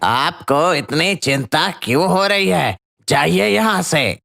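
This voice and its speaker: A man voicing a defiant striking shop worker in an animated story, firm and declamatory. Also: on the high side, slow pace, vocal fry, full character voice.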